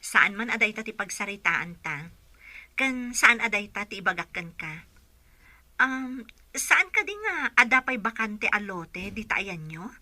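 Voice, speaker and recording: A woman's voice: mid-range voice, big pitch swings, unhurried, pushed and bright, no room sound, exaggerated voice. An actress playing an earnest working mother abroad in a radio drama. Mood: coaxing.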